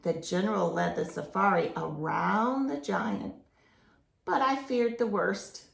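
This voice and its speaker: Slow-paced woman reading a children's story. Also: mid-range voice, creaky, reverberant. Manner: explains to young listeners with simple questions and expressive emphasis. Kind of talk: read-aloud picture book storytelling with asides to children.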